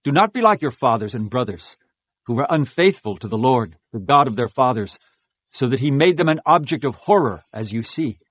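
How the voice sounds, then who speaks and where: A man's voice: medium pitch, lively intonation, normal speed, no room sound, lightly acted. Man acting as an earnest, declaiming biblical king. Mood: urgent exhortation. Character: earnest, pious king of Judah exhorting his people. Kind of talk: dramatized scripture acting.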